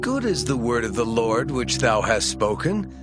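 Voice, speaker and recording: A man's voice: mid-range voice, very animated, moderate pace, loud music behind the voice, close to an everyday voice. An actor playing a devout, ailing king of Judah in a dramatized Bible, speaking solemnly in archaic scripture. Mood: subdued, resigned. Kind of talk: dramatized Bible audio acting.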